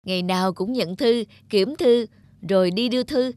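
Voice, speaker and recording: A woman's voice: mid-range voice, normal speed, a little mellow, no room sound, natural voice. A woman voicing a bored, discontented young postman who grumbles casually, in a cartoon. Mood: bored, grumbling. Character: bored, discontented postal worker, young man. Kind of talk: cartoon dubbing.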